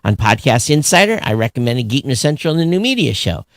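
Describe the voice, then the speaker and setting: A man's voice: mid-range voice, very animated, quick pace, fairly bright tone, dry recording, clean studio sound. A man talking casually and bluntly as a podcast co-host about his industry.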